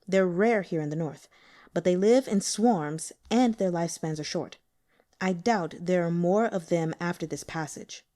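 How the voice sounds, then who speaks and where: Woman voicing a shrewd, mellow, sickly male investigator. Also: lowish voice, a little slow, natural voice. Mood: cautioning, matter-of-fact. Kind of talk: audiobook character dialogue.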